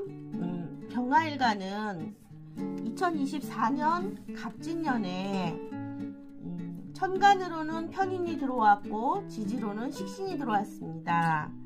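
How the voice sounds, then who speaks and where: Slow, high-voiced woman with a cold. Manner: halting, with frequent filled pauses. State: slightly hoarse throat from a cold. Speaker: fortune-telling channel host. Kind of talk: explanatory monthly horoscope talk.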